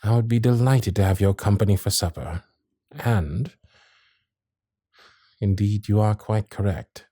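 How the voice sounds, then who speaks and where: A man playing a gallant, protective Victorian gentleman suitor in a romantic audio roleplay, speaking in formal, genteel, old-fashioned phrases. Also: fairly low pitch, measured pace, long pauses, no room sound, clean studio sound. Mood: courtly, flirtatious. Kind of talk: romantic period-drama ASMR roleplay.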